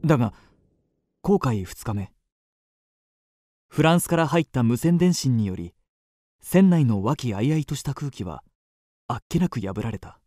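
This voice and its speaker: A man playing a suave, well-bred young gentleman traveller in a radio drama, measured, polished and courteous. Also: medium pitch, big pitch swings, noisy background, close to an everyday voice. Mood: composed narration. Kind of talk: radio drama narration and dialogue.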